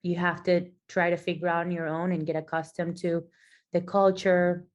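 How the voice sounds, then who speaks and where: A woman from Venezuela speaks as a podcast guest in a fairly low voice. She talks thoughtfully and conversationally, often circling back and restarting her sentences. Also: level tone, normal speed, lo-fi sound. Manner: thoughtful, with frequent restarts and fillers. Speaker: guest, physical therapist and athlete. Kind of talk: conversational interview answers.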